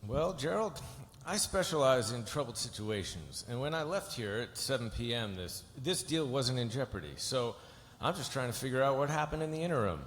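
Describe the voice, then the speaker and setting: A man's voice: medium pitch, expressive, normal speed, hardly any pauses, natural voice. A man playing a cocky, slick, unflappable corporate lawyer in a live script read, assured and cutting. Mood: cool, probing. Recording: echoey hall, noisy room sound.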